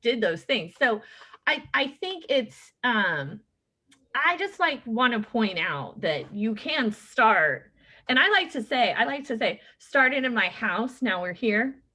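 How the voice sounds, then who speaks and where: A woman in her late thirties hosting a podcast, talking in a chatty, animated way with many fillers. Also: on the high side, slow. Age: late 30s. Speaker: podcast host. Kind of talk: casual chat.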